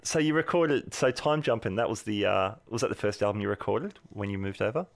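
A man's voice: fairly low pitch, lively intonation, vocal fry, no room sound, studio quality. An Australian man hosting an interview, asking questions casually and reacting with eager exclamations. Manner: enthusiastic, quick reactions like "yeah, wow". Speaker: podcast interviewer.

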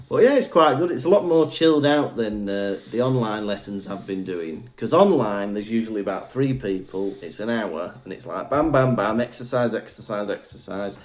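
A man's voice: lowish voice, lively intonation, normal speed. Chatty man from Wales. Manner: chatty, laughs often, storytelling. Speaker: podcast co-host. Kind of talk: casual chat.

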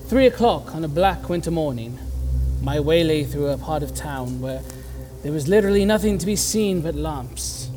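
A man playing an affable Victorian gentleman about town in a period audio drama, with a genteel, expressive way of talking. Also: fairly high pitch.

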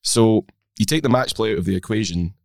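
A man with a slightly croaky voice, co-hosting a golf chat and reeling off stats in a measured way. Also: lowish voice, darkish tone, no room sound, clean studio sound. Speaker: podcast co-host.

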